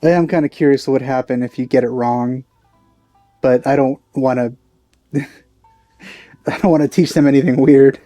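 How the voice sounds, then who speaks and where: A woman's voice: a bass voice, steady tone, moderate pace, pauses often, warm tone, soft background music. A woman giving casual let's play commentary in easygoing, musing asides.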